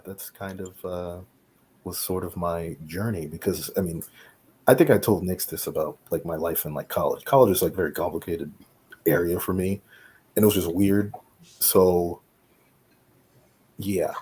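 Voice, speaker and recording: A man in his late twenties, speaking as a panelist in relaxed, chatty conversation, laughing easily and saying "like" a lot. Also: deep voice, slight background noise. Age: late 20s. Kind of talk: casual conversation.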